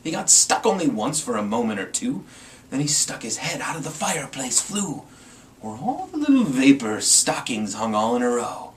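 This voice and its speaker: A man's voice: mid-range voice, sing-song, moderate pace. A man giving a playful, theatrical dramatic reading of a rhyming Christmas story as the storyteller. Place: room with a green screen. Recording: distant mic, some background noise.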